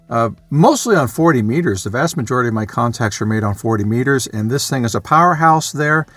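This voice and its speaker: A man's voice: mid-range voice, a bit bright, no echo. A man presenting a casual, unscripted vlog explainer, talking conversationally and thinking aloud as he goes. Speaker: amateur radio hobbyist and video presenter.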